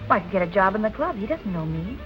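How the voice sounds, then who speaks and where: A woman's voice: mid-range voice, sing-song, fast talker, lo-fi sound, lightly acted. An actress playing a determined, plucky young woman sleuth in a 1940s film noir style. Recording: old film soundtrack with music behind.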